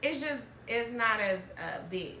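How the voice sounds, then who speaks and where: A woman's voice: a little high, lively intonation, measured pace, pushed and bright, slight background noise. A woman, telling personal stories as a podcast host, chatty and laughing often. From Alabama, US. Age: 30s. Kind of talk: candid storytelling chat. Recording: roomy, distant mic.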